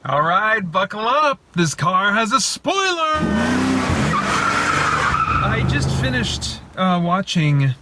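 A man's voice: sits high, slow pace, pauses often, background noise. A man in his thirties giving a casual, rambling film review from inside a parked car, recorded on a phone held at arm's length. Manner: animated, rambling with many fillers and restarts. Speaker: vlogger reviewing films.

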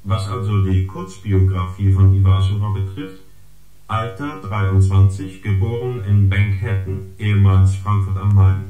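An actor playing a smug surveillance agent in a radio drama, reporting a dossier with precise, formal, sardonic delivery. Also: male voice, low voice, fairly even intonation, measured pace. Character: smug, gossiping surveillance agent or unit, dryly needling. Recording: echoing space, loud guitar music.

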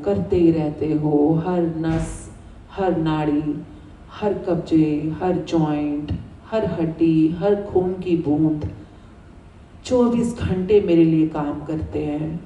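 A woman with an Indian accent leading a guided gratitude meditation, speaking soothing affirmations in deliberate, repeated phrases with pauses. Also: deep voice, phone-quality sound. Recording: roomy echo, distant mic, faint noise.

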